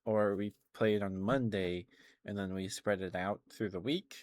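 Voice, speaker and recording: Low-pitched man rambling on an ASMR stream. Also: steady tone, moderate pace. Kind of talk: casual rambling ASMR livestream chat.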